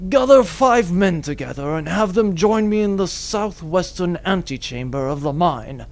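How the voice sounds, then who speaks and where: A man playing a grave veteran commodore turned rebel in a sci-fi audio drama, speaking formally and deliberately. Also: lively intonation. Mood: resolute. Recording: cavernous echo, rumbling background noise.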